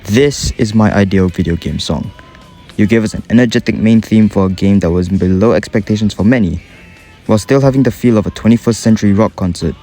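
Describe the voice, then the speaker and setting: A man's voice: fairly low pitch, a little fast, warm tone. A young man with a Singaporean accent, giving an enthusiastic, casual commentary voice-over with slang and swearing. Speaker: YouTuber ranking game music. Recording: faint music behind the voice.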